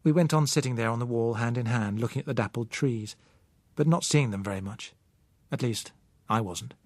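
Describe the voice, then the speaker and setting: A British man narrates a literary audiobook in the first person. His mid-pitched voice has a husky grain and a measured delivery. The recording is dry, with no room around it. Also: no room sound.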